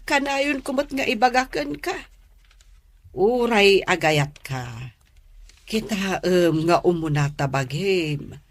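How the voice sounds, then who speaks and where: A woman's voice: medium pitch, fairly bright tone, dry recording. A woman playing a worried, admonishing middle-aged mother in a radio drama. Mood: gently admonishing.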